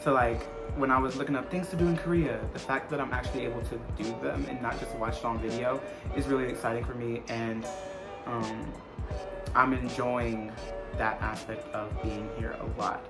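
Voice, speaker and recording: A man's voice: medium pitch, steady tone, moderate pace. Casual young American man over music. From United States. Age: 20s. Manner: rambling, repeats phrases for emphasis. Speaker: vlogger answering viewer questions. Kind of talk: casual Q&A chat to camera. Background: background music.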